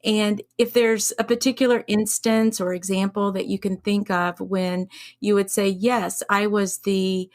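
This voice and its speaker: Slow-speaking woman podcast host. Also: mid-range voice, flat delivery, darkish tone. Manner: measured, summarizing, affirming. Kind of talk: interviewer asking questions.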